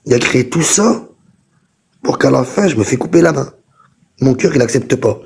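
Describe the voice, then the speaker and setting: A man's voice: medium pitch, animated, normal speed, creaky, a little mellow, slightly rough. A man hosting a heated live debate, insistent, repeating himself to keep the floor. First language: French. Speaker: host. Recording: TikTok live call audio.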